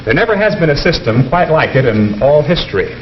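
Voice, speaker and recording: American professor, earnest, formal, didactic, animated intonation, 1950s classroom film, faint background noise. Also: male voice, on the high side, fairly quick. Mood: earnest, instructive. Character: earnest male economics professor lecturing his class. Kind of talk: 1950s educational film lecture. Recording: dull, noisy 1950s film soundtrack.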